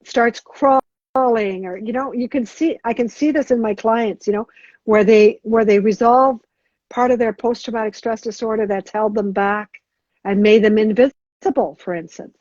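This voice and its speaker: Halting middle-aged woman, lo-fi sound. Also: on the high side, steady tone, a little mellow, no echo. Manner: hesitant, many restarts and fillers. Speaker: guest. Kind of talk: podcast interview. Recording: dull lo-fi sound.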